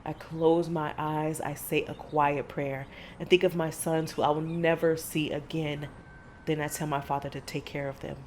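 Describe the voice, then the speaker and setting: A woman performs a middle-aged monster hunter in an audio drama. Her voice sits low as she recounts horrors in a grave, measured way. Faint noise runs under the recording. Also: level tone, a little mellow, lightly acted. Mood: somber, tense.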